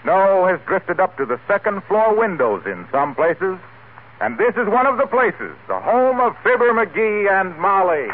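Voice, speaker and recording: A man's voice: high voice, very animated, a little slow. An American man working as a 1940s radio announcer, reading scripted introductions and advertising copy. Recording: tinny, hissy 1940s radio broadcast.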